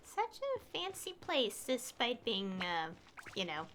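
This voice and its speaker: A woman's voice: a little high, huge pitch swings, slow, noisy background. A woman giving casual live gameplay commentary as a streamer, hesitant and thinking aloud, often trailing off. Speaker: game streamer.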